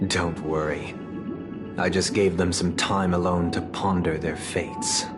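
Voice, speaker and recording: An actor playing a calm, persuasive young male leader who speaks in a measured, formal, gently condescending way. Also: low-pitched. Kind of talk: video game voice acting. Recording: game cutscene with loud score. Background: orchestral music.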